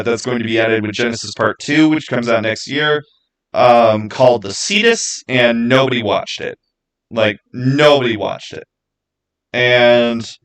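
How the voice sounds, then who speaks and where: A man's voice: mid-range voice, fairly bright tone, rough recording. A man chatting casually to viewers on a livestream, rambling and repeating words for emphasis. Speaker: YouTube creator and livestream host. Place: small home studio, indoors.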